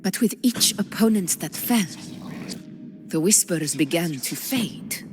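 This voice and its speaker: Slow, grave warrior ruler. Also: female voice, lowish voice, expressive. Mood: brooding. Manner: solemn, measured, confiding. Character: grave, proud warrior woman ruler haunted by whispers. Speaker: game character. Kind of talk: scripted dramatic game dialogue. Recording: voice under loud game music.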